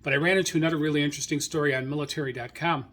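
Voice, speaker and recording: A middle-aged or older American man hosting an informal radio hobby monologue, rambling haltingly and repeating phrases. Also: fairly high pitch, steady tone, a bit bright. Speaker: radio hobby show host.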